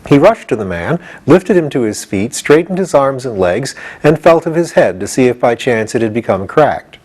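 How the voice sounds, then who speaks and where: A man's voice: mid-range voice, big pitch swings, moderate pace, darkish tone, husky, rough recording. A man narrating a children's storybook as a scripted audiobook reading. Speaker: audiobook reader.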